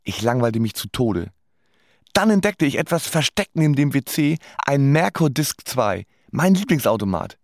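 A man playing a sardonic musician, narrating his own story in a scripted, deadpan radio drama style. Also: mid-range voice, moderate pace, no echo, clean studio sound. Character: sardonic male musician recalling his life. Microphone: close studio microphone.

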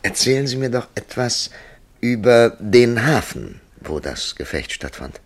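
An actor playing a composed, middle-aged confidant who probes another's dream with measured curiosity in a German radio play. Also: male voice, mid-range voice, very animated, no room sound. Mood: probing. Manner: measured, probing.

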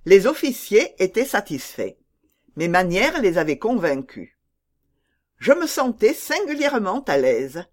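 A woman reading a gothic horror story as a guilt-maddened murderer, in a dramatic, deliberate manner. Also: mid-range voice, big pitch swings, darkish tone. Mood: uneasy, tense. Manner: dramatic, deliberate, building to frenzied cries.

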